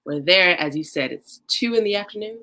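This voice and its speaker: A bright, clear-toned woman hosts a casual livestreamed podcast. She talks in an upbeat, chatty way and stretches out words for emphasis. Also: on the low side, animated, brisk. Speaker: podcast host.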